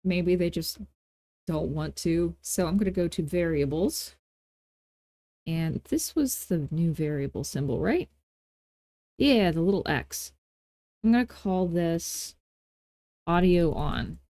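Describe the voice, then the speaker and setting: A woman with a lowish, mellow voice talks through a software tutorial as its host. Her manner is casual and playful, with folksy phrasing and little asides at her own expense. The recording is dry and close, without room sound. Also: animated, slow pace, pauses often, dry recording. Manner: playful, self-deprecating asides, folksy informal grammar.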